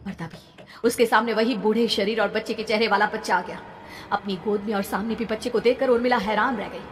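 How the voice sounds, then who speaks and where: A woman's voice: mid-range voice, steady tone, fairly quick. A woman narrating a scripted horror story in a dramatic storytelling style. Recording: long reverb, background noise.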